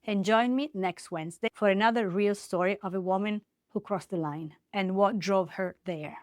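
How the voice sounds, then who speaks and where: True-crime host: woman, Italian accent, native Italian speaker, medium pitch, dramatic clipped phrasing, dry recording. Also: normal speed, darkish tone, no room sound. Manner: dramatic storytelling in short, separated phrases. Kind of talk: scripted true-crime narration.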